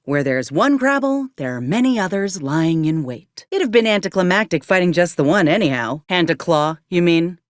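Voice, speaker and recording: A woman's voice: fairly low pitch, expressive, normal speed, hardly any pauses, no echo, clean studio sound, close to an everyday voice. A woman voicing a plainspoken, wry frontier companion in a video game, with a folksy Southern American drawl.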